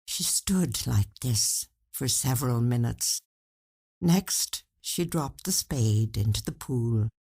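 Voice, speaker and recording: A woman narrates a short story in a low, slightly husky voice. She takes her time, and her intonation moves widely as she tells the tale expressively. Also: a little mellow.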